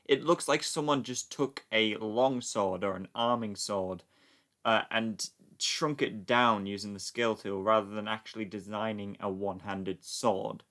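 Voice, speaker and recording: A man's voice: fairly low pitch, fairly even intonation, a little slow, a bit bright. A man giving casual, joking commentary on a film in a mock-pedantic, analytical way. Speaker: fan dub commentator.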